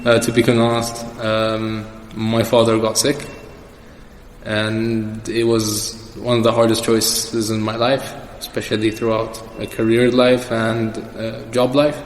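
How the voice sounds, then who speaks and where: A man's voice: on the low side, monotone, slow pace. A young man answering questions as the guest in a casual personal interview. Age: young adult. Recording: echoey reverberant room with background noise.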